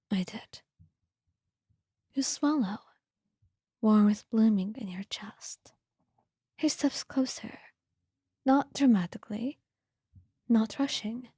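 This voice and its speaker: A woman reading scripted ASMR narration in a soft, breathy whisper, with a gentle, hushed storytelling manner. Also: on the high side, no echo, clean studio sound.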